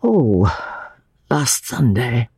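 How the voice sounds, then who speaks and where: A performer voicing a frail, gentle old man who speaks haltingly and in anguish, as in a dramatic audiobook. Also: mid-range voice, sing-song, breathy edge, no echo, studio quality, exaggerated voice. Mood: wistful, distracted. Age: older. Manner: halting, drawn-out, anguished delivery. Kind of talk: dramatic audiobook character reading.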